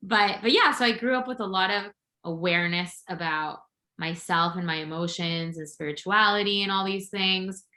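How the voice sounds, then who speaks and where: A woman's voice: medium pitch, moderate pace, pushed and bright, reverberant. A woman from Venezuela, a podcast guest and intuitive coach, telling her story in an open, upbeat way. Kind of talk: casual interview chat.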